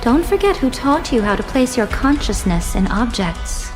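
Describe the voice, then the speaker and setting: An actress playing a poised, sly female spirit mentor in a video game, with a knowing, deliberate way of phrasing things. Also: a little high, very animated. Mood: teasing, knowing. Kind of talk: dramatic video game voice acting. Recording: music playing behind the voice.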